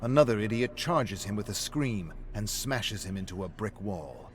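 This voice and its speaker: A man's voice: fairly low pitch, lively intonation, normal speed. A man giving a scripted, dramatic narration of a gritty game story. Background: music.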